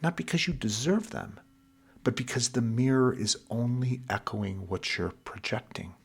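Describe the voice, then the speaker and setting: A man narrating guided sleep hypnosis in a soothing, deliberate and measured way. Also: medium pitch, creaky, breathy edge.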